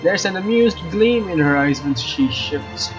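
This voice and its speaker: A man with a fairly high voice reads visual novel text aloud as a let's play host, in a casual, goofy way. The sound is a bit lo-fi, with faint game music behind him. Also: animated, a little slow.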